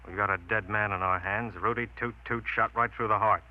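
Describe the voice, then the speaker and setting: A man's voice: lowish voice, steady tone, a little fast, natural voice. An American man acting a blunt, dryly bantering police detective in a vintage radio drama. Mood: stern. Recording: dull vintage radio recording.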